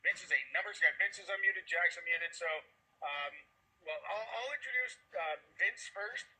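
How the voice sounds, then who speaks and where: A man with a Boston accent hosting a video call, introducing speakers in an energetic, rambling motivational pitch. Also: moderate pace, rough recording. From Massachusetts, USA.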